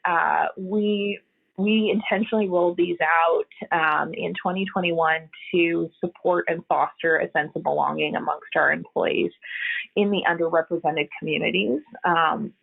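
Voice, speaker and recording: Woman exec guest, husky and gravelly, earnest and halting, heard over a tinny phone line. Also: fairly low pitch, fairly even intonation. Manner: earnest, explanatory, frequent hesitations. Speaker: HR executive, podcast guest. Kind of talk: conversational interview answers.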